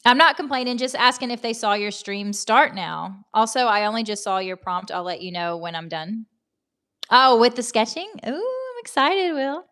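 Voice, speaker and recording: A woman's voice: a little high, expressive, unhurried, a bit bright. A woman in her thirties from Tennessee with a Southern American accent, hosting a casual livestream, chatting, laughing often and greeting viewers by name. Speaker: livestream host. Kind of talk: casual chat reading comments.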